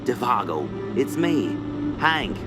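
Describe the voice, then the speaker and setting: A man's voice: medium pitch, sing-song, slow, over loud music. A man playing a devoted, folksy woodsman in a radio drama, emotional and soothing. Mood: tender, soothing. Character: devoted woodsman, tender, desperate affection for old pal. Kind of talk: radio theater acting.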